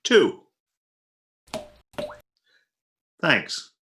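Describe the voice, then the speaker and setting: A man's voice: extremely slow, sharp tone, reverberant. A man playing an exasperated, grumbling husband with dry, sarcastic delivery in an old-time radio comedy. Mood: resigned. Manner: dry, sarcastic, put-upon.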